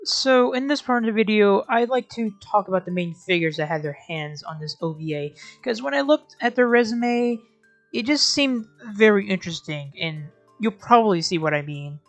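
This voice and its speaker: A woman's voice: medium pitch, expressive, moderate pace, no room sound, soft background music. A woman hosting a video essay, giving casual scripted commentary with wry, sarcastic asides and informal, sweary phrasing.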